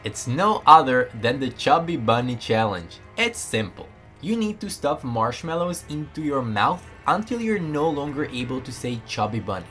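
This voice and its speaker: A man reading a scripted voiceover narration with lively storytelling delivery. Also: mid-range voice, moderate pace, hardly any pauses, soft background music.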